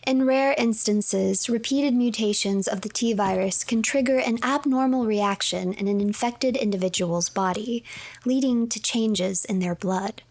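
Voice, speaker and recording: A woman with a mid-pitched voice narrates a game as a story. She is measured and deliberate, reading out notes and files like a storyteller. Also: darkish tone.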